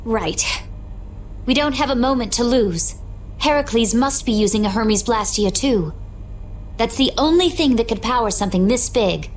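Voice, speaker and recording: Woman as a brash, high-voiced teenage mage girl. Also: expressive, normal speed, slight background noise, close to an everyday voice. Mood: resolved. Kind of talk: anime game voice acting.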